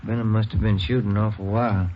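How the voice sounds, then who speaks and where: A man playing a plain, unhurried, skeptical police detective partner in an old radio drama. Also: on the low side, flat delivery, lightly acted. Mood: casual. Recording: noisy, dull old radio recording.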